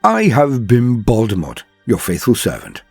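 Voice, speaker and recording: A man with a husky voice giving scripted lore narration in a florid, theatrical, formal manner. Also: mid-range voice, wildly swooping pitch, unhurried, a little mellow, dry recording, soft background music. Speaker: narrator and host of a lore guide.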